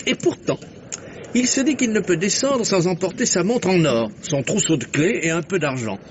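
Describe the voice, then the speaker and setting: An actor playing a keen, talkative journalist turned amateur detective in an old French radio mystery, theatrical and reasoning step by step. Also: male voice, high voice, lively intonation, normal speed. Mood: animated deduction. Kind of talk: mid-century French radio mystery acting. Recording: noisy, muffled old radio drama recording.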